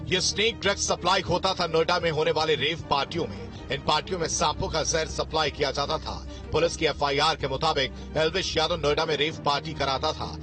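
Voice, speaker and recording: A man's voice: fairly high pitch, fairly even intonation, fairly quick, hardly any pauses. A man narrating a scripted news report in a dramatic, emphatic, sensational voice-over style. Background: loud music bed.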